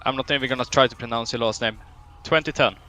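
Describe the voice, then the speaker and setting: A man's voice: mid-range voice, quick pace, bright, forceful tone, a little hiss or hum. A young man with a Swedish accent running a roleplay police training, stern and drill-like with dry humor. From Sweden. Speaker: training officer.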